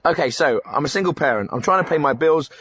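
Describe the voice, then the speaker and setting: An actor playing a tactless, pushy phone-in host in spoof radio comedy, interrupting with brisk, stumbling questions. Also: male voice, fairly high pitch, lively intonation, a bit bright. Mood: dismissive.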